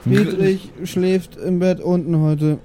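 Male role-play player, casual, unhurried, slightly high soft-toned voice. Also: steady tone, a little hiss or hum.

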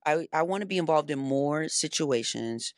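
A woman's voice: low-pitched, expressive, normal speed, dry recording, studio quality. A woman in her forties, a singer, speaking as a guest in a casual chat, effusive and grateful, often restarting her sentences. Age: 40s. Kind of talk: casual conversation.

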